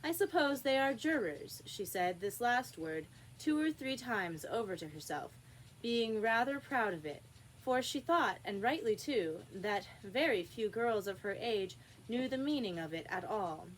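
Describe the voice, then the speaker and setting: A woman reading a children's classic aloud as an expressive storybook narrator. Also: mid-range voice, measured pace, a little hiss or hum, rough recording. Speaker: storybook reader.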